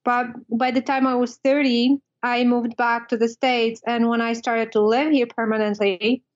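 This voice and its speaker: A woman's voice: a little high, little pitch movement, moderate pace, highs cut off. A woman in her fifties from Poland, with a Polish accent, speaking as a guest nutrition expert in a casual interview, reflective and in short restarting phrases.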